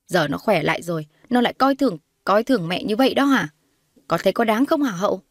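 A woman's voice: mid-range voice, quick pace, no echo, studio quality, natural voice. A woman voicing a haughty, scornful middle-aged mother who sneers and accuses in a dramatized audio story. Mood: irritated.

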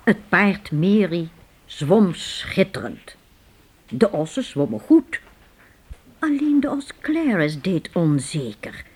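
A woman narrating a radio play in a dramatic way, sometimes dropping to a hushed whisper. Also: on the low side, very animated, takes it slowly, slight background noise.

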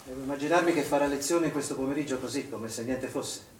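A man's voice: medium pitch, quick pace, close to an everyday voice. An actor playing an anxious, accusing father who is protective of his children, in an insistent stage-drama style. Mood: cold, insistent. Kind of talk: stage theatre acting. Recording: echoey room, distant mic, background noise.

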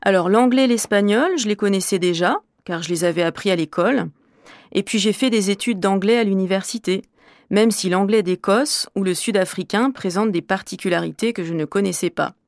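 A woman from Normandy, a French teacher, answering interview questions in a measured, clear, explanatory way. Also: medium pitch, dry recording, clean studio sound. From Normandy, France.

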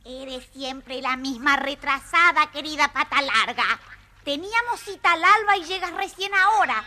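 A female stork from a children's audio story. She scolds impatiently in a high, extremely sharp squawk. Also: moderate pace. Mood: scolding.

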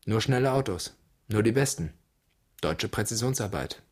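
A man's voice: on the low side, expressive, normal speed. An actor playing a smug father of the protest generation, speaking in self-satisfied slogans in a radio drama. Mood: smug, patronizing. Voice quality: husky.

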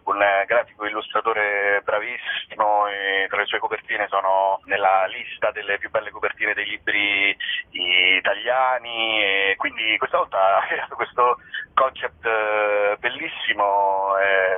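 A man's slightly low voice comes through a narrow, phone-like radio line. He talks off the cuff as an interview guest, rambling a little, hesitating and correcting himself. His delivery is informal and jokey. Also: fairly even intonation, unhurried. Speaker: comedy performer and show presenter, interview guest. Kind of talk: spontaneous interview chat.

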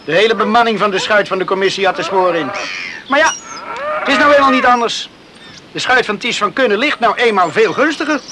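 An actor playing a down-to-earth, resigned young islander in a Dutch radio play, speaking matter-of-factly. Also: male voice, high-pitched, animated, fairly quick, very noisy.

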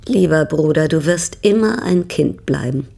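An actress playing an imperious, discontented baroness in a German radio drama, husky and haughtily complaining. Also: female voice, deep voice, lively intonation, normal speed. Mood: haughty, reproachful. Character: imperious, discontented baroness, older sister of a bohemian.

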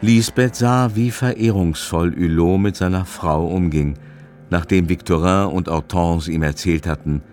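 Deep-voiced male radio play narrator. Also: a little slow, a little mellow, faint music behind.

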